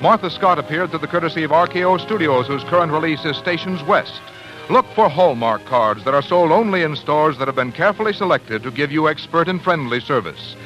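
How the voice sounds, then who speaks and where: Bright, forceful American radio announcer man. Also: on the high side, expressive, brisk, highs cut off, faint music behind. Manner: polished, salesmanlike, clearly phrased. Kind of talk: 1940s radio advertising and sign-off announcing. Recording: 1940s radio broadcast recording.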